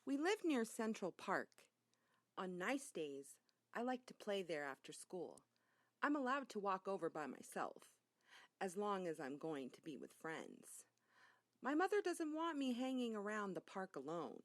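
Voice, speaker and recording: Female children's book reader, very animated pitch swings, unhurried pace, expressive delivery. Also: dry recording, studio quality. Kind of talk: children's audiobook narration.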